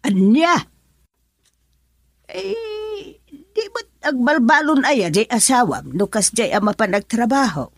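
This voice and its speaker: Woman as older Ilocano mother: slow, husky, lilting, gentle. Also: fairly high pitch, very animated, no room sound, clean studio sound, heavily acted. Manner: hesitant, soothing. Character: older mother, worried and soothing. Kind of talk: radio drama acting.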